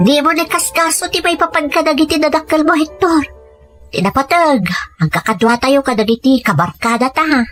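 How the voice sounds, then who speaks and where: A woman's voice: high voice, fairly quick, music in the background, close to an everyday voice. A woman playing a young, cheerful, encouraging friend in an Ilocano-accented audio drama. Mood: reassuring.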